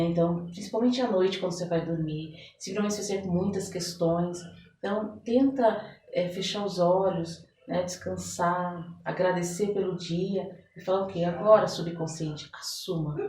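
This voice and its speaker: A Brazilian woman with a fairly low voice talks in a didactic, conversational way, repeating phrases to drive them home. She sounds set back from the microphone, with clear room echo around her. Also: dark tone. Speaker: interviewed therapist and guest. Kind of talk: conversational explanatory interview talk. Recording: roomy echo, distant microphone.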